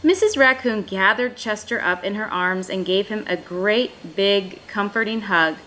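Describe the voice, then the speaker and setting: A woman narrating a children's picture book in an expressive storytelling style. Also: mid-range voice, a little slow, a little hiss or hum. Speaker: storybook reader.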